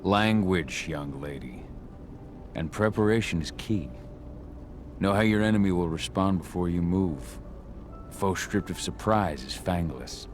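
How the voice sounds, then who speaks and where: A man's voice: low-pitched, animated, a little slow. A man playing a brooding, protective werewolf warrior and father, terse and stern, in a game cutscene. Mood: gentle, fatherly. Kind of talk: dramatic game cutscene acting. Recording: music behind the dialogue.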